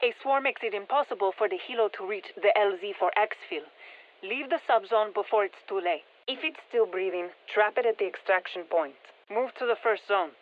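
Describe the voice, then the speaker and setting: An actress playing a brisk, focused female military scientist giving clipped mission instructions over a radio in a video game. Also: mid-range voice. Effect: radio filter. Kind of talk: scripted game mission briefing. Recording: tinny band-limited radio transmission.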